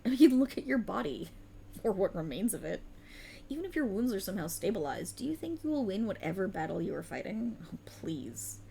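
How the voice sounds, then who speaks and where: A woman's voice: mid-range voice, creaky, mellow, slight background noise. A woman voicing a sultry, cruel and mocking otherworldly warlock patron in a theatrical ASMR roleplay, teasing and condescending.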